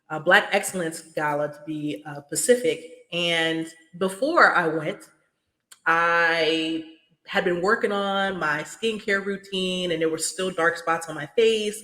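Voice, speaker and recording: A woman's voice: fairly low pitch, fairly bright tone. A woman hosting a casual podcast chat, telling a personal story candidly and with animation. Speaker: podcast host. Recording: roomy echo.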